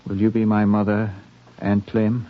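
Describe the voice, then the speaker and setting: An actor playing an intense, grandiose young poet in a 1950s radio drama, declaiming rapturously. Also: male voice, lowish voice, completely flat delivery, unhurried, close to an everyday voice. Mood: pleading, intense. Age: young adult. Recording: muffled 1950s radio recording.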